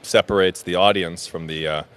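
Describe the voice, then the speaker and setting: A middle-aged man from Chicago, a comedian guest joking in a commentary booth, quick with deadpan asides and self-mockery. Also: low voice, a little hiss or hum.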